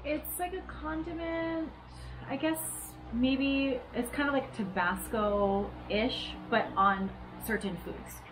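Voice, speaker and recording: A young woman from Hawaii chatting casually as a guest on an outdoor porch, relaxed with lots of fillers. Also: high voice, slow pace, background noise. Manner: relaxed, filler-heavy, laughs. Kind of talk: casual chat.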